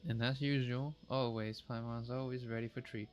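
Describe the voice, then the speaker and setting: A game streamer chats casually while playing. The voice sits in a middle pitch, reacting in short, informal remarks. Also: steady tone, moderate pace, a little mellow, highs cut off. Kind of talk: casual live gameplay commentary.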